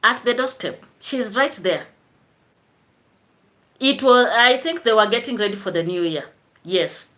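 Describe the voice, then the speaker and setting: A woman's voice: on the high side, animated, unhurried, long pauses, sharp tone. A woman chatting casually on a live stream, greeting viewers by name and laughing often. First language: Swahili.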